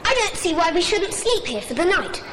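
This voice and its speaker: A woman playing a sensible, well-spoken British girl who briskly takes charge in a children's adventure audio drama. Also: very high voice, sing-song, background noise. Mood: relieved.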